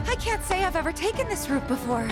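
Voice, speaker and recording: A gentle young woman companion in a video game, speaking high and expressively. She is polite and considerate, and loud music plays behind her. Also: sing-song, fast talker. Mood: kind, light.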